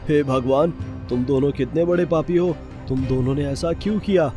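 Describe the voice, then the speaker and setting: A man's voice: a little high, moderate pace, close to an everyday voice. A man voicing a trusting, ageing king who feels betrayed, in a formal, dramatic cartoon-story manner. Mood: shocked, indignant. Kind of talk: dramatic Hindi moral-story cartoon dialogue. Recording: loud music bed behind voice.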